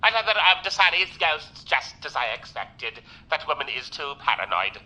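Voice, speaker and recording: An actor playing a villainous military commodore in an audio drama, formal, condescending and authoritative. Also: male voice, a little high, big pitch swings, moderate pace, sharp tone, soft background music, full character voice, low-quality recording. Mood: dismissive, brisk. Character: smooth, imperious male military commodore, villainous. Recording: clear background room ambience noise.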